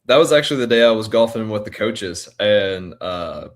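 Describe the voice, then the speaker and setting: Man, twenties, lowish pitch, casual interview answers, laid-back, colloquial, athlete. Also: lively intonation, moderate pace. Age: 20s. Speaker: college baseball pitcher being interviewed.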